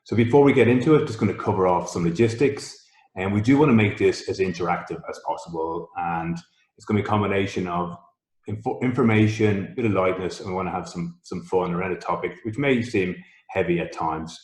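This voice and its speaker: A man with a very deep voice hosts a webinar over a video call. He talks politely and in an orderly way as he sets out how the session will run. His voice has a roomy echo. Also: fairly quick, a little mellow. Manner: polite, organized, explains logistics. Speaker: coach and co-host. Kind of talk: webinar introduction.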